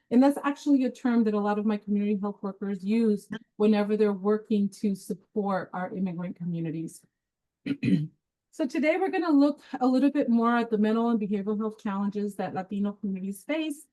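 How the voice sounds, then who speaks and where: A woman from Peru presents to an online community group in a casual, chatty way, with plenty of ums and restarts. She speaks at a middle pitch over a video call. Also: fairly quick, rough recording. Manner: warm, chatty, with laughs and filler words. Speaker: webinar presenter.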